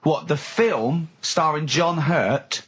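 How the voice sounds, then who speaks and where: Husky-voiced man bantering on radio. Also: a little high, slow pace, fairly bright tone. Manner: teasing, prodding with questions. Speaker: radio show host.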